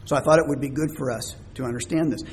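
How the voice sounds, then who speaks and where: A man preaching a sermon in a conversational teaching style. He pauses often and repeats words, and says his voice is already tiring. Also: mid-range voice, fairly even intonation, a little mellow. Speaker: pastor.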